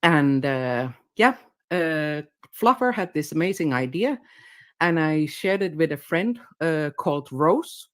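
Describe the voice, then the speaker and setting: A woman's voice: low voice, animated, slow. A woman explaining a letter campaign in a casual, hesitant way, with many fillers. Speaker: guest video clip.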